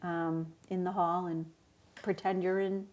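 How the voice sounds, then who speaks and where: A woman's voice: fairly low pitch, little pitch movement, a little slow, darkish tone. A woman hosting a library interview show, friendly and encouraging, with frequent ums as she asks questions. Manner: friendly, encouraging, many fillers. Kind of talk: casual interview.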